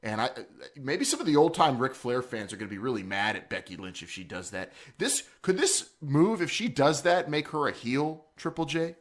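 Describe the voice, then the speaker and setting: A man's voice: mid-range voice, big pitch swings, moderate pace, hardly any pauses. A man hosting a casual wrestling talk podcast, joking and rambling with frequent restarts. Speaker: podcast host.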